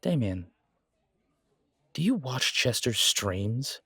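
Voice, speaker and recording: A man playing a wry, self-deprecating alpha werewolf in an audio drama, with a sardonic, measured delivery. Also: medium pitch, big pitch swings, pushed and bright, slightly rough, no echo, clean studio sound, exaggerated voice. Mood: friendly, curious. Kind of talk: scripted audio roleplay drama.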